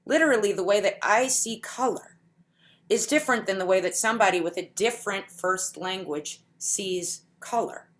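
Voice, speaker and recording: A woman giving a conference talk in a deliberate, explanatory way, slowing down to stretch key words. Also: mid-range voice. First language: English. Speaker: conference speaker. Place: home setup for a virtual conference.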